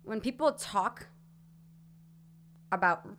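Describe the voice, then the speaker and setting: A woman's voice: mid-range voice, expressive, slow, a bit bright, slight background noise. Frank, emphatic young woman guest. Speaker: musician guest. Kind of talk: casual interview chat.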